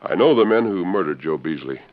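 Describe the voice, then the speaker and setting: Western radio hero: very low, authoritative, clipped and formal, on a dull vintage recording. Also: male voice, lively intonation, brisk. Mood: firm, assured. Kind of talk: 1940s radio acting.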